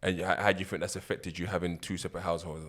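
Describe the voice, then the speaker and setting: A man's voice: low voice, level tone, very fast. A man hosting a casual interview, laid-back and encouraging, answering with frequent yeahs. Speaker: interviewer, host.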